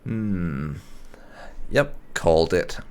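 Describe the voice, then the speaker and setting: A man's voice: low-pitched, lively intonation, drawn-out words, a little mellow, clear, resonant tone. A man role-playing aloud as he plays a video game, improvising dry, sceptical replies with muttered hums. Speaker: gaming channel player role-playing aloud. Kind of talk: improvised in-character game roleplay commentary.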